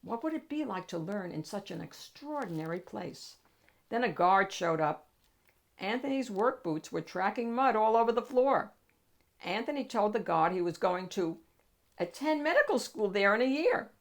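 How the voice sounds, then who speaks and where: A woman narrating a children's picture book in an expressive, emphatic storytelling manner with deliberate phrasing. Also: mid-range voice, vocal fry. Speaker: storybook reader, read-aloud host.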